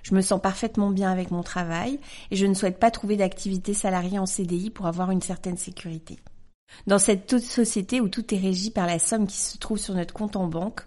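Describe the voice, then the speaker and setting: Gentle woman in her forties reading. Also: mid-range voice, steady tone, a little fast. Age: 40s. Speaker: podcast host. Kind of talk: scripted reading of a letter and her reply.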